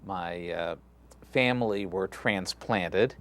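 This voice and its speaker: A man's voice: low voice, takes it slowly. A middle-aged man, a rabbi from Texas, chatting in an interview in a loose, friendly way with many fillers and restarts. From Galveston, Texas. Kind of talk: casual interview conversation.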